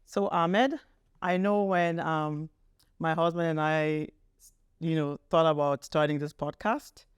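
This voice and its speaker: A woman's voice: low voice, fairly even intonation, takes it slowly, clean tone, no echo, clean studio sound. A woman hosting an interview podcast, introducing and addressing people in an enthusiastic, effusive way. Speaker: podcast host.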